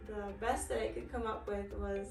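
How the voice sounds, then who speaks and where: A woman's voice: medium pitch, fairly even intonation, moderate pace, creaky. A woman with a Ukrainian accent, speaking in a hesitant, casual way with frequent ums, as a dermatologist. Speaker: dermatologist, co-founder. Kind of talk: casual interview chat. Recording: roomy sound from a distant mic with music.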